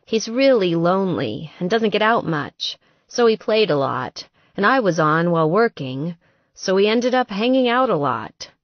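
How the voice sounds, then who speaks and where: Audiobook role: female reader as sweet young gamer woman, distressed and exasperated, very expressive pitch, dry close sound. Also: moderate pace, dry recording, dull audio. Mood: confiding. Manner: plaintive, exasperated. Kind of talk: audiobook character dialogue.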